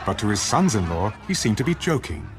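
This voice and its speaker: A man giving dramatic scripted scripture narration in a solemn, measured storytelling manner. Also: on the low side, sing-song, soft background music, a faint crowd.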